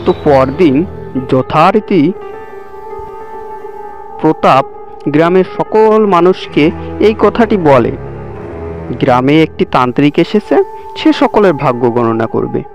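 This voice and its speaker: A man's voice: fairly high pitch, animated, unhurried, pauses often. A man reading scripted narration for a cartoon story. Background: background music.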